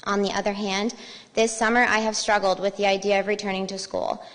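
A woman's voice: medium pitch, flat delivery, brisk, fairly bright tone. A young American woman, a school teacher, giving a prepared statement at a public meeting. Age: young adult. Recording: reverberant school board meeting room.

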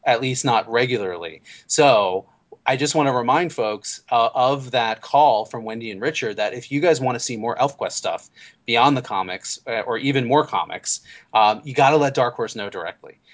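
A man's voice: medium pitch, moderate pace. A man hosting a casual fan podcast, chatty and thinking aloud as he talks. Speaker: podcast host. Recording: slightly dull lo-fi audio.

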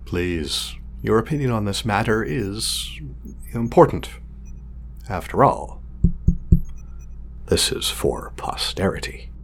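A man playing a proud, exacting craftsman of android companions in an audio drama, speaking in a formal, measured, condescending way. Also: fairly low pitch, lively intonation. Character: pompous male craftsman of artificial companion drones, exacting, proud. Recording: clear background noise, sci-fi lab ambience.

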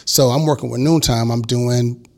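A man's voice: mid-range voice, fairly even intonation, moderate pace, gravelly. A man in his forties, a guest record producer, telling his story in a casual interview and acting out the quotes of the people in it.

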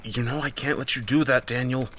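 A man voicing a fierce, bossy young woman who argues and shouts in a dramatic story. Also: mid-range voice, steady tone, brisk, a little hiss or hum, pitched above the performer's own voice, lightly acted. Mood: tense. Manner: shouting, growling, argumentative.

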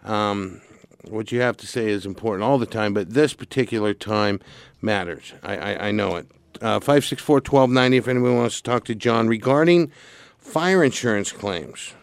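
Halting male radio talk host. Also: fairly low pitch, vocal fry, no echo. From Santa Barbara, California. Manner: halting, with many fillers and restarts. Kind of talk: casual radio talk show chat.

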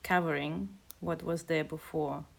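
A woman poet and translator from Kazakhstan, speaking in a measured, hesitant way as she talks and reads. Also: low-pitched, fairly even intonation, gravelly. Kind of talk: casual conversation and poetry reading.

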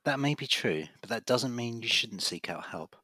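A man playing a professional, earnest family doctor in an audio drama, speaking in a measured way. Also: medium pitch, expressive, sharp tone, slightly rough, dry recording. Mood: reassuring, concerned. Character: reassuring, persistent male family doctor with a secret.

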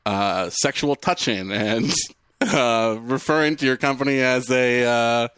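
A man's voice: mid-range voice, measured pace, fairly bright tone, smooth, no room sound. A man co-hosting a podcast, teasing his friends in casual comic chat. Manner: laughing, teasing. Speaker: podcast co-host. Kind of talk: casual comedic banter.

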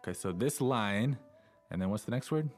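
A man's voice: fairly low pitch, lively intonation, moderate pace, a little mellow, faint music behind. A man co-hosting a language lesson in a conversational style, asking questions and recapping in simple steps.

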